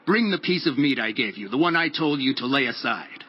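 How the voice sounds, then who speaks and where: An actor playing a solemn, commanding prophet in a dramatized audio Bible, with measured, declamatory delivery. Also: male voice, mid-range voice, lively intonation, husky. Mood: solemn, assured. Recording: dull lo-fi audio with music. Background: background music.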